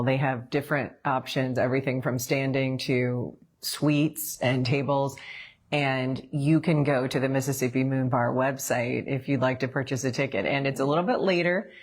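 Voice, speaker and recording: Midwest lady in her 40s, really low voice, chatty morning show cohost, laughs a lot. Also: fairly even intonation, moderate pace. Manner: chatty, laughs easily, promotes events. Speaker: co-host. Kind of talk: casual morning show chat.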